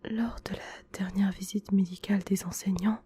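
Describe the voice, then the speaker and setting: A woman playing a gentle, attentive male school nurse in an ASMR roleplay, whispering breathily in a reassuring, hushed way. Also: medium pitch, steady tone, normal speed, a little hiss or hum, close to an everyday voice. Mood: caring, attentive.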